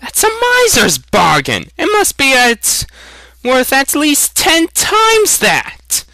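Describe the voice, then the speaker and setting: A man voicing an indignant, stubborn farm boy in a storybook reading, protesting in a strained, high voice. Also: wildly swooping pitch, slow pace, pushed and bright, no echo, exaggerated voice, low-quality recording.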